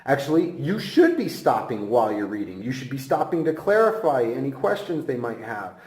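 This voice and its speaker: A man's voice: mid-range voice, very animated, fairly quick. A man giving an instructional lesson as a teacher, speaking emphatically and encouragingly straight to the viewer. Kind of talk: instructional presentation. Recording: echoey room.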